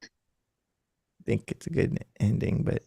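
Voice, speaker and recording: A man's voice: measured pace, mellow, dry recording, studio quality. A man in his thirties, a musician chatting casually in a rambling, self-deprecating way and laughing often. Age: 30s. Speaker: musician guest. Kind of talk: casual chat.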